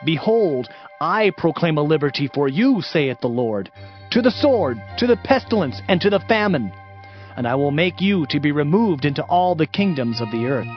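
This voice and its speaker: A man's voice: fairly high pitch, sing-song, normal speed. A man playing a stern, fervent Old Testament prophet in a declamatory, emphatic scripture reading. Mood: wrathful, foreboding. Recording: dull audio with music behind.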